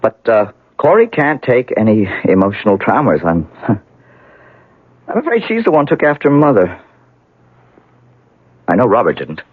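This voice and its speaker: An actor playing a grieving, wry and bitter heir to a wealthy house in a 1970s radio drama, speaking haltingly. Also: male voice, fairly low pitch, sing-song, slightly rough, no room sound. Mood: bitter, frank. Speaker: actor in a radio mystery play. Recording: narrowband old radio broadcast, dry.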